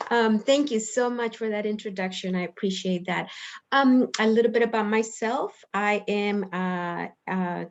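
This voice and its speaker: A middle-aged woman from El Salvador introduces herself informally on a panel. She talks in a halting, earnest, rambling way at a medium pitch. The video call leaves her sounding dull and lo-fi. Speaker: panelist, clinical psychologist and director.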